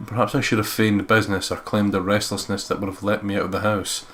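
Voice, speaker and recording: A man's voice: lowish voice, flat delivery, fast, fairly bright tone, soft background music. A man hosting a let's play, reading visual novel narration aloud and breaking off for joking asides.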